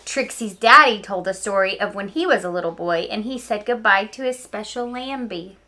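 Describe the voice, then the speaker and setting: A woman reading a picture book aloud to young listeners, expressive and speaking to them directly with small asides. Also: mid-range voice, moderate pace. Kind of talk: children's read-aloud storytelling with asides.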